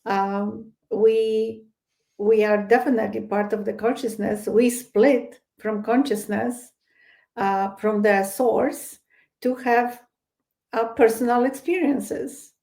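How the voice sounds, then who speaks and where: A woman from Poland with a Polish accent, a psychic medium guest in a podcast interview, telling a personal story in an earnest, unhurried way. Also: on the high side, fairly even intonation, warm tone.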